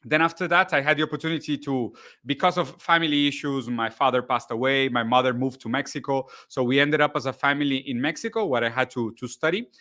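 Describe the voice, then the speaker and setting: Bright-voiced man, chatty guest. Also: medium pitch, quick pace. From Brazil. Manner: relaxed, chatty, frequent restarts. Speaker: guest, content creator. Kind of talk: casual interview chat.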